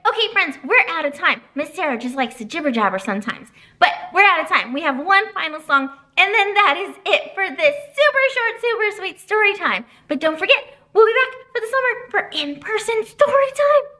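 Woman in her 30s, storytime librarian; high and singsong, with a lively, swooping delivery. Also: fairly quick, few pauses. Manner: animated, sing-song teacher voice. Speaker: children's librarian. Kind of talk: storytime reading and announcements for kids. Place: library children's room, indoors.

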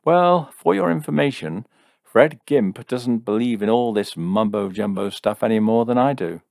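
A man's voice: sits low, normal speed, creaky, dry recording, clean studio sound. A man voicing a hot-tempered, sneering young man in an audiobook story, blustering and sarcastic. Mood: furious, stung.

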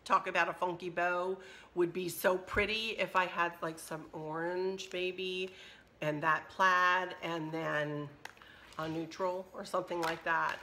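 Woman, middle-aged, slightly hoarse, chatty and rambling, lowish voice, camera mic at home. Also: pushed and bright, slight background noise. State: slightly hoarse, losing her voice. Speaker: craft vlogger. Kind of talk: casual chat and shopping haul. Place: kitchen at home, indoors.

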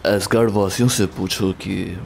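A man's voice: deep voice, steady tone, normal speed. A man voicing a boozy, washed-up thunder god in an amateur film dub, with a boastful, drawling delivery. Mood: wounded, defensive. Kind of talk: amateur Hindi film fandub acting. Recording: clear background noise with film ambience.

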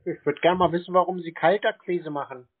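A man's voice: high voice, fairly even intonation, fairly quick, breathy edge. A man in a casual phone conversation, answering in a terse, unimpressed and contrary way. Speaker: scambaiter taking a sales call. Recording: narrowband phone sound.

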